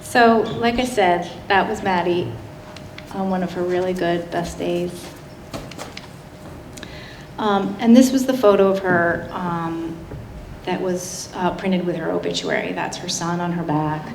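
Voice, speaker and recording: A woman giving a public slide presentation in a conversational, halting way with frequent asides. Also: lowish voice, steady tone, darkish tone. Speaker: guest speaker giving a public talk. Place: indoor public talk venue. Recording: roomy, echoing hall with noticeable noise.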